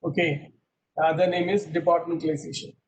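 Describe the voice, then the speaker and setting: A man's voice: fairly high pitch, flat delivery, brisk, a little hiss or hum, poor audio. A middle-aged man teaching an online class over a video call, quizzing students and repeating himself, mixing English with Hindi. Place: small room indoors. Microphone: laptop webcam on a video call.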